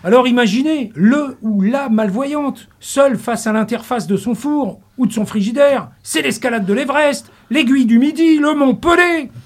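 A middle-aged man reading a humorous radio column in a studio, full of wordplay and mock-grand asides. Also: sits high. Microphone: studio mic.

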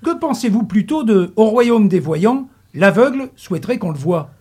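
A man's voice: high-pitched, lively intonation, moderate pace, darkish tone, slightly rough. A middle-aged man reading a humorous radio column in a studio, full of wordplay and mock-grand asides. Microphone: studio mic.

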